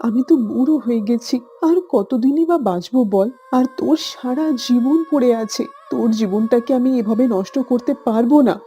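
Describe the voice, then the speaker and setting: A woman voicing a kindly old mother cow in a cartoon, husky, tender and self-sacrificing. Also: on the high side, normal speed, few pauses, mellow, faint music behind, close to an everyday voice. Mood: pleading, tender. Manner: tender, pleading.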